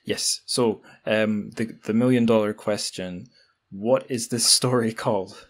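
A man's voice: on the low side, fairly even intonation, unhurried. A man hosting a podcast in a casual interview style, talking in a discursive way and often correcting himself. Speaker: podcast host.

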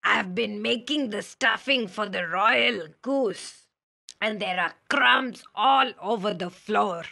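A woman's voice: medium pitch, slow, gravelly, bright, forceful tone, exaggerated voice. A woman voicing a bossy, short-tempered cook who scolds and snaps in a storybook reading. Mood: scolding. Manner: scolding, snappish.

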